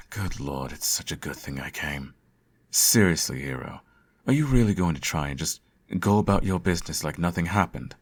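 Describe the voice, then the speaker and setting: A man playing a teasing, doting supervillain in an intimate ASMR roleplay, suave and coaxing, with a gravelly, husky voice. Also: extremely low pitch. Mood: exasperated, caring. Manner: suave, theatrical, coaxing, uses pet names. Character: teasing, doting male supervillain nursing his hero nemesis.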